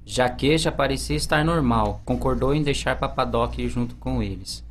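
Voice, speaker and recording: A man's voice: mid-range voice, normal speed, a little hiss or hum. A young man with a Brazilian accent reading scripted narration for an amateur radio drama. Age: young adult. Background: music.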